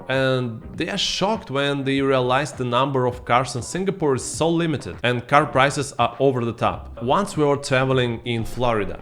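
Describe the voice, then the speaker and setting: A man in his thirties, not a native English speaker, talking to camera in a scripted vlog with playful asides, recorded on a boom-arm studio mic in a dim room at home. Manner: lively, playful asides to viewers. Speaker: vlogger.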